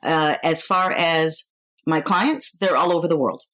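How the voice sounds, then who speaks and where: An American woman in her fifties, originally from New York, speaks in a fairly low voice. She is an interview guest answering in a casual, conversational style, feeling out her phrasing as she goes. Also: a little slow, a bit bright. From New York, United States. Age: 50s.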